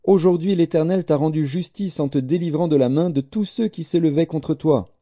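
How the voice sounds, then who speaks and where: Man voicing an eager royal messenger, raised pitch. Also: normal speed, warm tone, lightly acted. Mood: eager proclaiming. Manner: declaiming, deferential. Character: eager messenger bringing news to a king.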